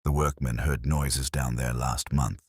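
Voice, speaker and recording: A man's voice: very deep voice, fairly even intonation, unhurried, breathy edge, no echo, studio quality, pitched below the performer's own voice, full character voice. A man voicing a gossipy, sly Oxford history don, murmuring confidingly in an audio drama.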